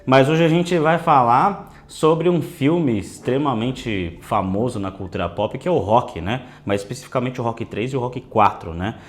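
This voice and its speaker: A man's voice: mid-range voice, normal speed, hardly any pauses. A man hosting a casual video lesson as a tarot teacher. His voice is a little off from dry air, and he keeps tagging his sentences with a questioning "né". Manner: chatty, tags many phrases with a questioning "né". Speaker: tarot teacher and channel host.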